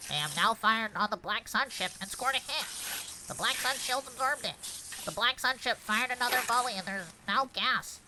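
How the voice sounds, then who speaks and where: A woman's voice: fairly high pitch, expressive, normal speed, close to an everyday voice. A woman playing a dutiful droid co-pilot in a script read, giving formal, precise status reports. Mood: formal reporting. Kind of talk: fan script table read, play-by-play reporting. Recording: clear background noise.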